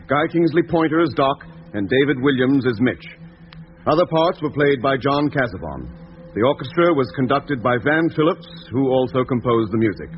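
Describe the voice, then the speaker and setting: A man narrating as a formal, measured announcer in scripted 1950s radio style. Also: medium pitch. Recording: noisy, muffled old radio recording.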